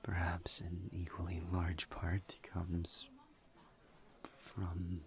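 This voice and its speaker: A man playing a courteous, earnest incubus demon in an intimate audio roleplay, speaking softly and breathily in halting, formal phrases. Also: sits low, full character voice. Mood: hesitant, confessional. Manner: hesitant, halting, formal wording, soft-spoken and breathy. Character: courteous, earnest male incubus demon, awkward among friends.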